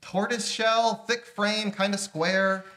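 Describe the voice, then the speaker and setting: A man's voice: sits high, little pitch movement, slow pace, bright, forceful tone, voice pushed up, full character voice. Man acting an exasperated brother, sarcastic, put-upon, young, comedy script read. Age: young adult.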